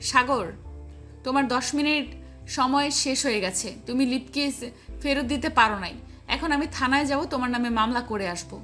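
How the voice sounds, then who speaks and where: Woman acting feisty young girlfriend, high-pitched, insistent, audio romance drama, background music. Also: moderate pace, a bit bright. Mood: threatening. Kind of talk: acted dialogue in an amateur audio love story.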